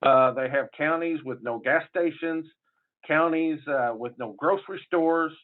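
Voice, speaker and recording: A man's voice: a little high, unhurried. A man with a southern Illinois accent giving a conference presentation in a folksy, rambling way, with many fillers and restarts. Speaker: public health association presenter. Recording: tinny phone-like audio.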